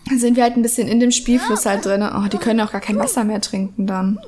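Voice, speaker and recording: A woman's voice: medium pitch, fast, noisy background. Chatty woman with a cold. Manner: chatty, rambling, many fillers. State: has a cold. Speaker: let's play host. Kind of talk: casual gameplay commentary. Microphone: desk microphone.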